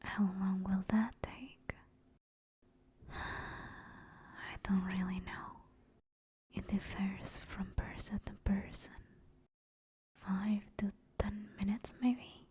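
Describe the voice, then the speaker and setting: A woman playing a female sleep paralysis demon in a whispered ASMR roleplay, soft, intimate and breathy. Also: mid-range voice, flat delivery, drawn-out words, pauses often, heavily acted. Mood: gentle, soothing. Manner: soft, intimate, teasing then soothing. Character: female sleep paralysis demon, teasing menace turning apologetic and tender.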